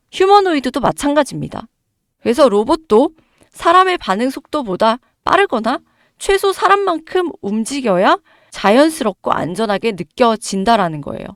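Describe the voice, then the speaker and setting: A fairly high-voiced woman explains the market to her viewers with emphatic, rhetorical questions. Her voice is hoarse from a cold, yet her pitch swings widely. The sound is close and dry. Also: sing-song, moderate pace, no echo. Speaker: stock market commentator.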